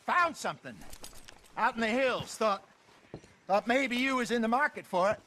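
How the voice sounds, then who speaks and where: An actor playing a theatrical, smooth-talking American outlaw con man, florid and wheedling, in a western video game. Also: very high-pitched, expressive, moderate pace, pushed and bright, a little hiss or hum, exaggerated voice. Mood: sly, bargaining. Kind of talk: video game cutscene acting. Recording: music behind the voice.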